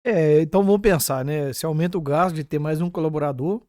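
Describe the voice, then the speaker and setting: A Brazilian man with a Brazilian Portuguese accent hosts a business podcast, talking quickly in a mellow tone. He picks up his guest's points and backs them with practical examples. His pitch sits a little high, and the sound is dry. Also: dry recording.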